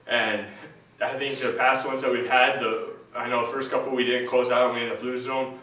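A young man, a college basketball player, answering postgame interview questions in a plain, earnest, slightly halting way. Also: medium pitch, level tone, bright, forceful tone. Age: young adult. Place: small room at a team backdrop. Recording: echoey room, distant mic, faint hiss.

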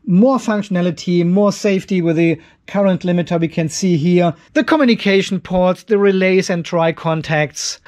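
A man's voice: a little high, a little mellow. A man in his fifties giving a casual, step-by-step explainer to camera as a video host, with informal, emphatic phrasing. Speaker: host of an off-grid solar and battery channel. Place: cluttered garage workshop.

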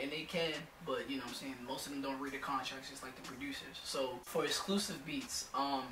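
A hoarse young man in his twenties giving blunt, profane advice to music producers in a casual home studio vlog. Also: medium pitch, reverberant, distant mic. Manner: blunt, swears freely, lots of "you know what I'm saying".

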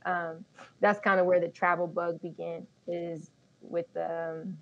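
Woman in her thirties, low voice, relaxed and self-deprecating, dull lo-fi sound. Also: slow pace. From Colorado, USA. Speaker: guest. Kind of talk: casual interview storytelling. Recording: slightly dull lo-fi audio.

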